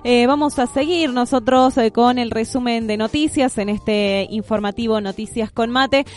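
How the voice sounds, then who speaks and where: A woman's voice: fairly high pitch, normal speed. A woman with an Argentine accent hosting a live radio news interview, feeling her way through her phrases.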